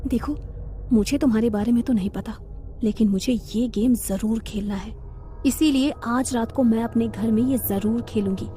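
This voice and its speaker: An actress playing a headstrong, defiant young college student in an audio horror story, with an assertive manner. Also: female voice, a little high, normal speed, warm tone, soft background music, lightly acted. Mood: determined. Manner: assertive, challenging.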